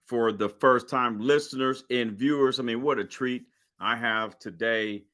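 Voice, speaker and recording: Animated, joking male podcast host. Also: medium pitch, unhurried. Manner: lively, joking, animated storytelling. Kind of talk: casual interview.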